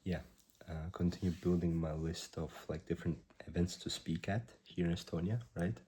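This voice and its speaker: A man in his twenties, a startup founder, recording a rambling video diary at a hushed volume while slightly sick with a cough. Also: low-pitched, fairly even intonation, slightly rough. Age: 20s. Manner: rambling, halting, self-correcting; keeps his voice down.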